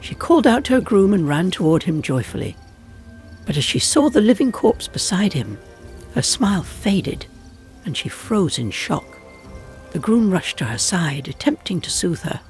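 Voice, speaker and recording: A man's voice: high voice, sing-song, normal speed, a little mellow. A man reading a scripted folk tale as a dramatic storyteller. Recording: music bed behind the voice. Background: music.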